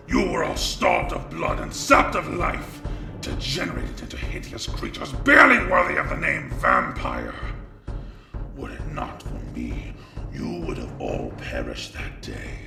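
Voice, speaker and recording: An actor playing a giant ancient vampire lord, imperious and contemptuous, in a growling audio drama performance. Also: male voice, huge pitch swings, bright, forceful tone, faint music behind, full character voice. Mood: enraged. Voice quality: very gravelly, deep growl. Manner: slow, haughty, commanding. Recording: roomy echo.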